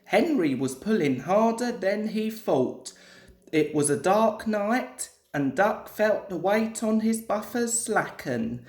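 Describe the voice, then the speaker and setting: Echoey, slow-paced woman reading a story. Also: mid-range voice, expressive, a little mellow. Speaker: storybook narrator. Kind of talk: scripted children's story narration. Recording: echoey room.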